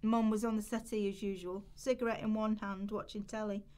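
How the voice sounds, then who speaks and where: A woman's voice: medium pitch, little pitch movement, fairly quick, a little mellow, natural voice. A woman playing an anxious, despairing young woman on benefits, confiding her story in a radio play rehearsal. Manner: confessional first-person storytelling.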